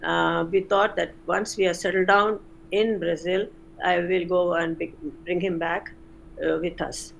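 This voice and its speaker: An older woman, a retired chemistry professor recalling her career in an interview, unhurried with frequent pauses. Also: on the low side, level tone, vocal fry, a little hiss or hum, poor audio. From India. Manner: unhurried, many "uh" pauses, self-corrects. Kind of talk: reflective interview answers.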